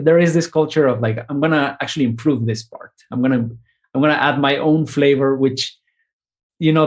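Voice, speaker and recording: A man, a composer talking through his ideas in a relaxed interview, often restarting phrases and ending with a questioning "no?". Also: on the high side. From Mexico. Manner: thoughtful, many restarts and tag questions. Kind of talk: conversational interview.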